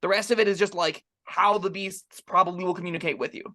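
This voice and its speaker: A man's voice: high voice, steady tone, fast, bright, forceful tone. A man co-hosting a casual, opinionated discussion of tabletop game rules, explaining his points emphatically.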